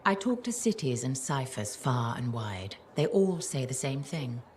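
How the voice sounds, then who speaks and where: Grandly formal, low-voiced woman chronicler. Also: big pitch swings, unhurried, darkish tone, a little hiss or hum, exaggerated voice. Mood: earnest, lofty. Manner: theatrical, genteel, wordy phrasing. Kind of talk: video game character dialogue.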